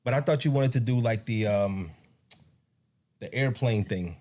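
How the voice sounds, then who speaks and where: A man in his late thirties jokes around with his wife on their podcast. He is relaxed and slangy, and his voice sits on the low side. He keeps an unhurried, playful tone. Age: 30s. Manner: laid-back, slangy, joking. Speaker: podcast co-host. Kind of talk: casual chat with his wife.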